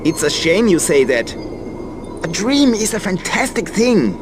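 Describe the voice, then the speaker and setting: Whimsical riddly dreamer in a fantasy game, highish lilting voice, theatrical, noisy audio. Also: male voice, sing-song, unhurried. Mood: wistful. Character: whimsical, cryptic male dreamer, once a painter. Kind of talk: fantasy video game voice acting. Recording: noisy game cutscene capture.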